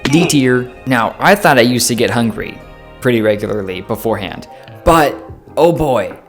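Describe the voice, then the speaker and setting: A man's voice: mid-range voice, animated, normal speed. Chatty young man vlogging, faint music. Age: 20s. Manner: chatty, lots of fillers and self-corrections; playful, jokey. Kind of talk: casual talk to camera.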